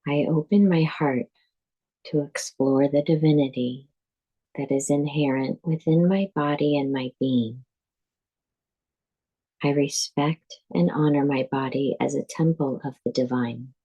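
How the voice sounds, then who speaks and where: A woman guiding a yoga nidra meditation as a teacher, speaking calmly and deliberately in measured phrases with pauses. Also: low voice, husky, lo-fi sound. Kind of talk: guided meditation, scripted instruction.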